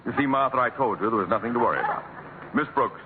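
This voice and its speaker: An actor playing an excitable, blustering middle-aged man, easily flustered, in a vintage radio comedy. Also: mid-range voice, quick pace, close to an everyday voice. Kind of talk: 1950s radio comedy acting. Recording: noisy, tinny old radio broadcast.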